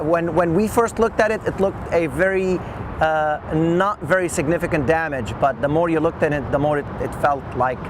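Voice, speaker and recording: A middle-aged man recounts his work in an unscripted interview, hesitating often as he goes. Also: a little high. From Lebanon. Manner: halting, with frequent long hesitations. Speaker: engineer interviewee. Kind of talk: oral history interview, unscripted recollection. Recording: loud outdoor traffic noise.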